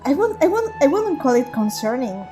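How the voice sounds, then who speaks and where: A woman's voice: sits high, very animated, fairly quick, soft background music. A woman streaming a game, rambling in casual commentary with frequent laughter and restarts.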